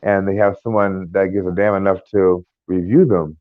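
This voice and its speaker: American male co-host, lowish voice, halting and rambling, tinny phone-like sound. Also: fairly even intonation, no echo. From United States. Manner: rambling, halting, many fillers and restarts. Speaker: podcast co-host. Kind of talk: casual chat.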